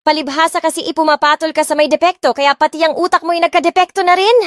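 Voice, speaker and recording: Woman narrator, first-person romance audiobook, spoiled defiant heroine, expressive, slightly high voice, dry close mic. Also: fast talker, dry recording. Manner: expressive, mixes English into Tagalog. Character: spoiled rich young woman, bratty and defiant. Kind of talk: dramatic first-person story reading.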